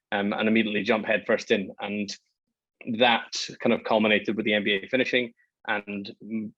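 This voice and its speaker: A man's voice: on the low side, a bit bright, no room sound, lo-fi sound. A Scottish man in his thirties, a startup founder talking through his career in a hesitant, self-deprecating way with lots of ums. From Scotland. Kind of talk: casual interview talk.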